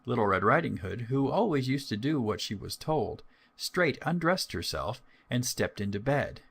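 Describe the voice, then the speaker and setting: Male narrator for children's fairy tales, mid-range voice with lively, varied melody. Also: mid-range voice, moderate pace, vocal fry, breathy edge. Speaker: storyteller. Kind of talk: scripted fairy tale narration.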